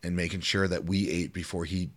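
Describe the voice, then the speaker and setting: A middle-aged man with a deep voice co-hosting a podcast, chatting casually and rambling a little, repeating words as he tells his stories. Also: flat delivery, brisk. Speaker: podcast co-host. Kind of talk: casual chat.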